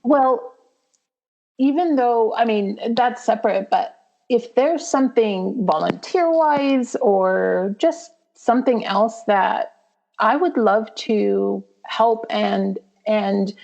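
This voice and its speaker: A woman's voice: fairly high pitch, takes it slowly, gravelly, a little mellow. A woman hosting a podcast whose first language is Romanian, talking earnestly and rambling with fillers. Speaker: podcast host. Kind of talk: casual conversation. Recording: slightly dull, lo-fi sound.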